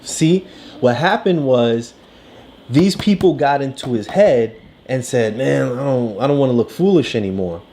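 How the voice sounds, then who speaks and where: A woman's voice: extremely low pitch, animated, unhurried, mellow. A woman talking a child through a picture book, encouraging and lecturing, often checking in with "right?". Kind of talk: casual coaching talk about a story.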